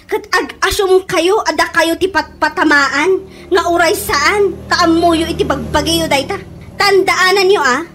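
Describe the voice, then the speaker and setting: A woman's voice: very high voice, fairly bright tone, slight background noise. A woman with an Ilocano accent playing an indignant, scolding woman in a comedy radio drama.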